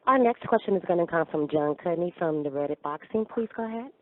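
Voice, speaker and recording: A woman's voice: lowish voice, expressive, fast talker, no echo. A woman giving formal, scripted announcements as a conference call operator over the telephone. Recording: dry, narrow phone line.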